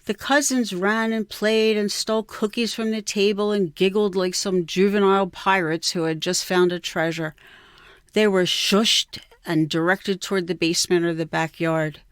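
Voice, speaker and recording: A woman reading a scripted personal memoir in a measured, reflective way. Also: mid-range voice, steady tone, clean tone, no echo, clean studio sound. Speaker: writer reading own piece. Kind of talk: scripted literary memoir narration.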